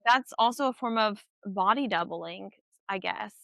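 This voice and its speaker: A woman's voice: mid-range voice, a little slow, gravelly, a bit bright, no room sound. A woman in her thirties chatting casually, hesitant and full of "like" fillers. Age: 30s. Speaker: podcast co-host. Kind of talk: casual chat.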